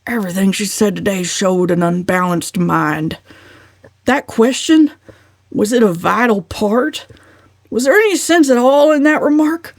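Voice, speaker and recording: A woman's voice: medium pitch, expressive, a little slow, dry recording, studio quality, pitched above the performer's own voice. A woman voicing a bossy, irritable old uncle who growls and snaps bluntly in an audiobook.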